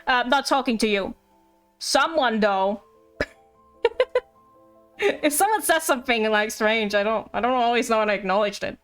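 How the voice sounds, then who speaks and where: A woman's voice: a little high, moderate pace, long pauses, fairly bright tone. A giggly, playful woman live streamer chatting casually while she plays games. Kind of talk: casual gaming stream chat. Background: music.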